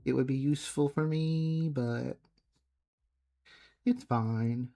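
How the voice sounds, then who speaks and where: A man streaming himself drawing, chatting casually and softly, often trailing off mid-thought as he works. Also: mid-range voice, slow pace, creaky. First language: English. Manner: soft, musing, trails off mid-thought while working. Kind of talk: casual chat while drawing. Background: faint background music.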